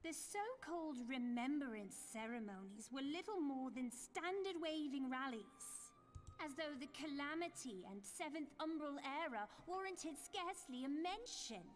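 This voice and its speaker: A woman's voice: high voice, lively intonation, normal speed. An actress playing a headstrong, indignant young noblewoman in a fantasy game, speaking formally and earnestly, with a challenging manner. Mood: skeptical. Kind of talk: scripted fantasy game dialogue.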